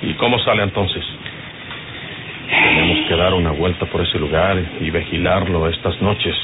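An actor playing a cautious, commanding gunman with a Mexican accent, measured and firm in old radio drama style. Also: sits low, very noisy. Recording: muffled, phone-like old radio with music.

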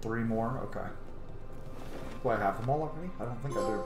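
A man's voice: mid-range voice, brisk. A man in his thirties giving casual let's play commentary, thinking aloud as he plays a role-playing game. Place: indoor home room. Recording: echoey room, game music behind.

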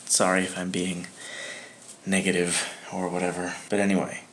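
A young man in his twenties talking casually into a phone camera in a dark room at night, grumbling in a low-key way. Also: monotone, normal speed, slight background noise. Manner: complaining, low-key late-night talk. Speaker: vlogger. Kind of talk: casual daily vlog. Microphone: phone camera held close.

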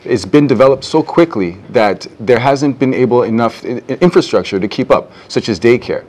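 A man's voice: medium pitch, normal speed, a little mellow. Young man, earnest debate candidate. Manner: earnest, some stammering restarts. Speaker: political candidate. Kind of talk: debate answer. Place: television studio.

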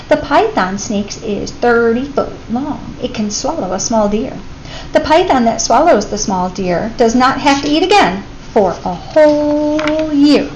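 A woman reading a children's picture book aloud in an expressive teacher's manner, addressing young listeners. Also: fairly high pitch, rough recording. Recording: clear background noise.

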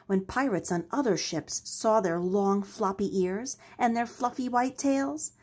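Husky woman reading a storybook aloud. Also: medium pitch, measured pace, a little mellow. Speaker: storybook reader. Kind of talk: scripted children's story narration.

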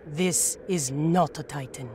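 An actress playing a serious, vigilant warrior companion in a video game cutscene. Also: female voice, low voice, slow pace, warm tone, a little hiss or hum. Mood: serious, questioning.

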